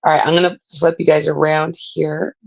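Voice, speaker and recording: A middle-aged woman, raspy from a spring cold, chatting casually as she hosts a live craft demo and greets viewers by name. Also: low voice, flat delivery, normal speed, a little mellow, highs cut off. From Southern California. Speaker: craft livestream host. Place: home craft room.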